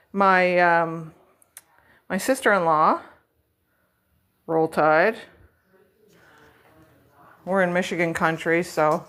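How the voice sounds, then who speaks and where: A middle-aged woman chatting casually at home as a vlog host, rambling and self-deprecating while she decorates. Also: mid-range voice.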